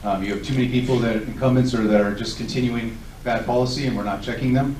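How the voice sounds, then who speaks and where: A man's voice: medium pitch, fairly even intonation, fast, darkish tone. A man in his forties from Southern California, speaking as a candidate at a public forum in an earnest, self-assured way. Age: 40s. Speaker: political candidate. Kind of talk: candidate forum speech and answers. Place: large echoey hall at a public event. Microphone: recorded from a distance by a tablet. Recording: echoey hall, distant mic, faint noise.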